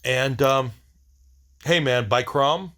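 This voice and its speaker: A man hosting a casual video diary, rambling in a blunt, wry way and often halting to search for words. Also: mid-range voice, sharp tone.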